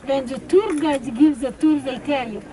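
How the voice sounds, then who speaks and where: A woman's voice: high-pitched, normal speed, dark tone, poor audio. An elderly woman in her eighties from Hungary, outdoors, talking through events and filling in others' words. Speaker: survivor who also questions. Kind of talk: conversational testimony. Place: outdoors at a memorial site.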